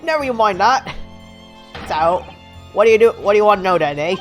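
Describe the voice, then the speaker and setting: A woman's voice: mid-range voice, animated, brisk, faint music behind, voice pushed up, close to an everyday voice. Woman voicing a blustering, pompous male police inspector. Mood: brusque. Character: gruff, self-important male British police inspector. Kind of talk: reading video game dialogue in character.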